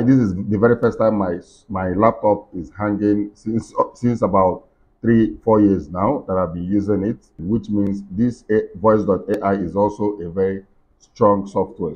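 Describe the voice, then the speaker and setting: A man in his thirties giving a casual software tutorial, earnestly repeating his points to viewers at a desk computer microphone. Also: on the low side. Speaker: tech tutorial host. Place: small indoor room. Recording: dull, muffled lo-fi sound.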